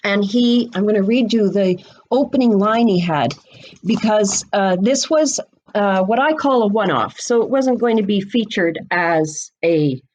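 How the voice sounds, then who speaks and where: A middle-aged woman speaking as a guest expert on a podcast, explaining things informally and deliberately, with pauses between phrases. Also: mid-range voice, lively intonation, darkish tone, highs cut off, slight background noise. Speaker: guest expert on mystery fiction, bookseller and writer.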